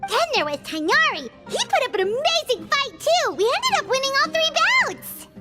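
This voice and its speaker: An extremely high, squeaky little fairy companion from a video game. She gushes in exclamations with wildly swooping pitch, like a child eager to tell a tale. Music plays behind her. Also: very animated, normal speed, bright, forceful tone, heavily acted. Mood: excited boasting. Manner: exclamatory, gushing storyteller, refers to self by name. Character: small excitable childlike fairy companion, female.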